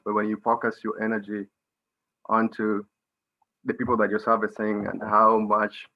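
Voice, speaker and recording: A man with a Kenyan accent answering as a medical student panelist, halting and searching for words with frequent restarts. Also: on the low side, muffled recording.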